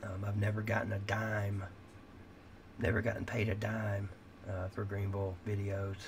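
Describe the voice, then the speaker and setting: A middle-aged man rambles candidly through a late-night livestream, cursing and restarting his sentences. His low, gravelly voice is wearing thin, as if he is losing it. A faint hiss sits under the recording. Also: monotone, moderate pace. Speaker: livestream host.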